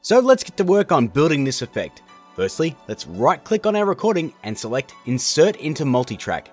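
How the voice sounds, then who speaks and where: Lively, informal male tutorial host. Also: on the high side, normal speed, faint music behind. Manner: chatty, informal, jokey. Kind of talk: casual software tutorial narration.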